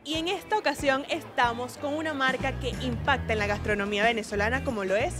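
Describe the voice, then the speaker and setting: A woman's voice: high-pitched, quick pace, music in the background. A woman hosting a show, introducing guests and asking interview questions.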